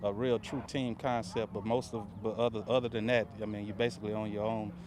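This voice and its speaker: A man's voice: on the low side, level tone, brisk, background noise. A man who is head coach of a youth track club, answering interview questions outdoors at a meet, plainspoken and brief.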